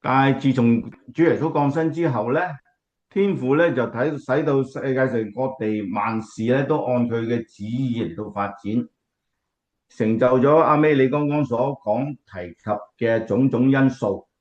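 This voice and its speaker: A man's voice: mid-range voice, unhurried, a little mellow, lightly acted. Man as a kindly Cantonese grandfather, dull recording. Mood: earnest teaching. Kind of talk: radio drama acting.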